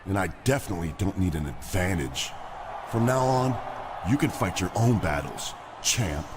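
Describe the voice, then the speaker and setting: A cocky wrestler brushes off his rival with sarcasm. His voice is low and steady. Noise sits behind him. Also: moderate pace. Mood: dismissive. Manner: sarcastic, dismissive.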